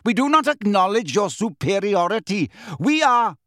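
A man's voice: high voice, sing-song, extremely slow, bright, forceful tone, no room sound, studio quality, voice pushed up, full character voice. A man voicing a proud, haughty male grey centaur who speaks in formal, contemptuous bellows in an audiobook. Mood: haughty anger.

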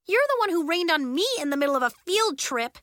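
A woman's voice: extremely high pitch, wildly swooping pitch, moderate pace, bright, forceful tone, no room sound, clean studio sound, voice pushed up, full character voice. A woman voicing a feisty, indignant schoolgirl who protests and exclaims, in an audiobook children's story.

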